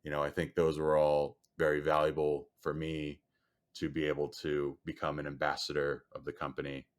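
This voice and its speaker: A man whose first language is Chinese speaks in a very low, even voice with little rise and fall. He answers at a measured pace and leans on "you know" as he thinks through each point. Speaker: guest, storage company executive. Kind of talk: podcast interview answer.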